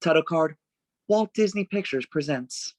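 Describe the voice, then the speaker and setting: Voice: medium pitch, expressive, measured pace, no echo. A narrator reading screenplay stage directions aloud for a table read in a plain, descriptive manner.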